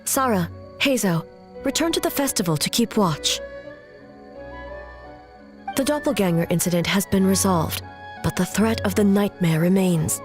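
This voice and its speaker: A woman's voice: medium pitch, soft background music, lightly acted. Woman as a composed, authoritative noble guardian. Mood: resolute. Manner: formal, measured. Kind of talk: video game character dialogue.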